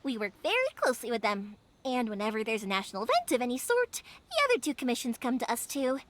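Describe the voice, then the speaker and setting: A woman voicing a cheerful young female fireworks maker in a video game, chatty and quick to laugh. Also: fairly high pitch, very animated, a little hiss or hum. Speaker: game character. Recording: game audio with music behind.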